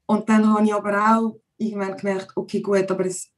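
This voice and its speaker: A woman's voice: mid-range voice, little pitch movement, brisk. A woman in her forties with a Zurich Swiss German accent, a guest chatting casually on a video call with lots of hesitant fillers. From Zurich, Switzerland. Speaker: podcast guest. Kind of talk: casual conversation. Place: home room.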